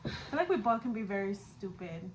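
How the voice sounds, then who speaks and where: A woman's voice: mid-range voice, sing-song, fairly quick, a little hiss or hum, rough recording. A young woman in a casual chat with a friend, swearing easily and mixing English with Spanish. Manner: code-switches between English and Spanish. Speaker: vlogger chatting with her best friend.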